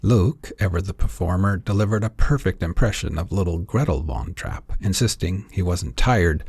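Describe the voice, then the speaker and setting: A man with a husky voice reading his own fiction aloud as a scripted audiobook narration. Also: deep voice, lively intonation, normal speed, darkish tone, no room sound.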